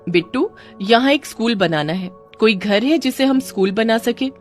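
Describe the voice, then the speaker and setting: A woman's voice: a little high, lively intonation, normal speed, soft background music, close to an everyday voice. Woman playing a kind, determined schoolteacher. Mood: encouraging. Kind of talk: children's moral story voice acting.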